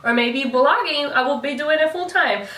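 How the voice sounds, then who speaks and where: A woman's voice: high voice, moderate pace, fairly bright tone. A woman in her twenties, a guest talking earnestly and at length, with frequent restarts. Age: 20s. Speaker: guest, fashion blogger. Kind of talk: casual chat. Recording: echoey room, mic at a distance.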